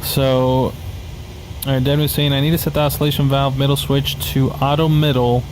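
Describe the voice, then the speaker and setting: A man's voice: medium pitch, moderate pace, rough recording. A man giving casual, hesitant play-along flight sim commentary, thinking aloud. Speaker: flight sim streamer and pilot. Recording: steady engine and cockpit noise behind. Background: flight simulator aircraft noise, radio chatter.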